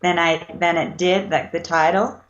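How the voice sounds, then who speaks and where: A woman's voice: lowish voice, steady tone, normal speed. A woman author speaking as an interview guest, reflective and hesitant, with many fillers. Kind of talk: casual interview chat. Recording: slightly dull lo-fi sound.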